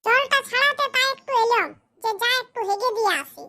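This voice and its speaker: A performer voicing a crude, self-pitying village boy who grumbles in rustic slang in a comedy cartoon, with a pitched-up voice. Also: a little fast, sharp tone, exaggerated voice. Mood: irritated. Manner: muttering complaints in rustic slang.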